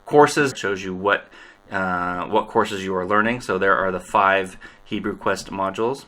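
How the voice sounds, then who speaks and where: A middle-aged man explaining an online course website step by step, in a casual, relaxed way with lots of ums. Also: deep voice, unhurried. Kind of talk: screen-recorded software walkthrough.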